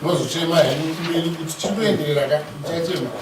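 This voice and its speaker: A Zimbabwean man leads a staged family negotiation in a deliberate, procedural manner. He is recorded from a distance in a roomy space with clear background noise. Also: on the high side, steady tone. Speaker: lead negotiator for the bride's family in a dramatized Shona bride price ceremony. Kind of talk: dramatized ceremonial negotiation.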